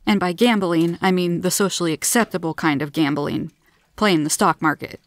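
A woman's voice: on the low side, normal speed, slight background noise, natural voice. Earnest, candid woman, voiced by a narrator. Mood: candid, upbeat. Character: friendly, candid, chronically ill woman, easily tired. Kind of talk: storybook character voice.

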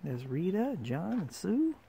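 Hiker filming the trail, fairly high voice, plain announcing delivery, noisy outdoor camera audio. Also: male voice, big pitch swings, unhurried, vocal fry, mellow. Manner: plainly announces places and dates. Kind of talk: casual travel narration behind the camera. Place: outdoors on a mountain trail by a lake and waterfall. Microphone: handheld camera mic. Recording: outdoor camera audio with noticeable background noise.

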